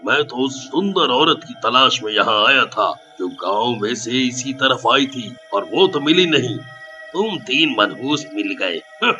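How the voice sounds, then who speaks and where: A man playing a forceful, blustering village headman. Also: medium pitch, lively intonation, a little slow, dull audio, soft background music, pitched below the performer's own voice. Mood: grumbling. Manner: rustic phrasing, blunt. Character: blustering village headman, protective yet grumbling.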